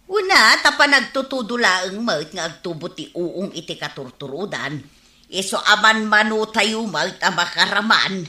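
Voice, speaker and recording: A woman playing a lively rural housewife in an Ilocano radio comedy drama. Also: mid-range voice, normal speed. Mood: chatty. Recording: roomy, echoing radio drama recording.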